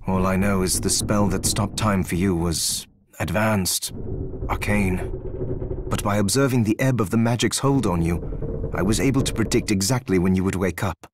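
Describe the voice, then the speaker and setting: An actor playing a gentle, regretful scholar and protective companion in a video game, speaking with measured sincerity. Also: male voice, deep voice, noisy background, close to an everyday voice. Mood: calm, explanatory. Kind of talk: dramatic video game voice acting.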